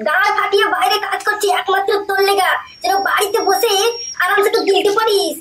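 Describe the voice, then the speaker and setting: A performer voicing an excitable, crude, quarrelsome young village man in a comic cartoon, with a pitched-up effect. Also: fairly quick, background noise. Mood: angry, bitter. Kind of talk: comic cartoon dialogue with pitch-shifted voices.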